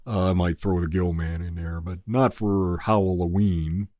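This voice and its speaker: A man's voice: sits low, level tone, unhurried, mellow, dry recording, muffled recording. A man hosting his own channel, chatting casually in a rambling, laid-back way with lots of ums and uhs. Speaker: movie review channel host. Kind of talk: casual podcast-style chat.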